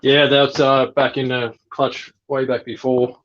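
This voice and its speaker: A man's voice: medium pitch, flat delivery, unhurried, a bit bright. Man chatting casually, slightly muffled sound. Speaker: reptile breeder. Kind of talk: casual unscripted chat.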